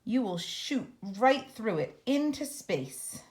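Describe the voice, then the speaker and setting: A woman reads a children's picture book aloud. Her voice sits a little high. She tells it in a measured, expressive way, as a storybook reader does.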